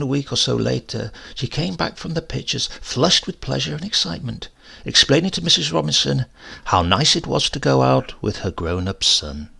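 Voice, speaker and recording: Gravelly male horror story narrator. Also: mid-range voice, fairly bright tone. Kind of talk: dramatic first-person horror story narration.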